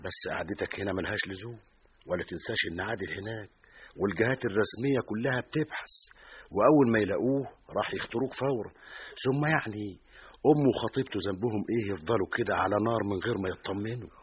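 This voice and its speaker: A man's voice: on the low side, very fast, lightly acted. A man playing a comforting village friend. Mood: coaxing. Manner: reassuring, coaxing. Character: consoling middle-aged village friend. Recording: muffled noisy radio recording.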